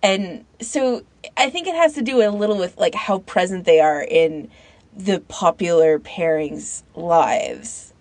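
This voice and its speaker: A woman's voice: on the low side, lively intonation, measured pace, darkish tone, no echo. A woman co-hosting a podcast in casual chat, informal and opinionated, often peppering her sentences with "like". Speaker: podcast co-host.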